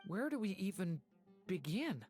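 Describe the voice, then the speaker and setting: A woman voicing a gentle, timid, apologetic youth in a video game, earnest and hesitant. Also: mid-range voice, big pitch swings, unhurried, soft background music. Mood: tentative, earnest. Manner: soft, earnest, hesitant with stammers.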